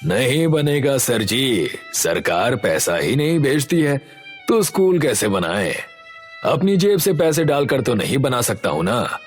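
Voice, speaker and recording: A stubborn village headman with a merchant's greed, played by a man. He talks down to his listener in a mid-range voice with expressive swings. Soft music sits behind this animated-story villain. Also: mid-range voice, a little slow. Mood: dismissive. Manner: dismissive, condescending. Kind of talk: Hindi animated story voice acting.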